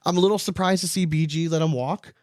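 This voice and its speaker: Casting: male sports podcast co-host, slightly high voice, rambling casual talk, dry sound. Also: steady tone, quick pace, darkish tone, no echo. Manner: rambling, repeats phrases for emphasis.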